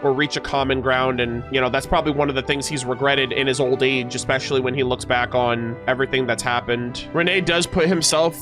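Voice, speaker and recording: A man's voice: medium pitch, steady tone, fairly quick, few pauses, over music. A man streamer giving casual, chatty commentary as he reacts to game lore and reads story text.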